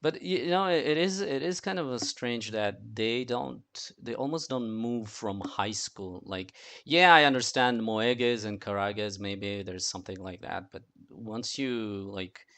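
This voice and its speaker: Halting, lowish-voiced male podcast guest. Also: a bit bright. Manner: halting, repeats words. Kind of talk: casual panel chat.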